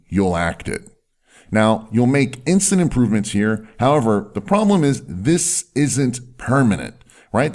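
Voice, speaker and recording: Deep-voiced man coaching in a lively way. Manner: emphatic, slows down and drops low on key words. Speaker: doctor and health video host. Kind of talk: instructional video talk to camera.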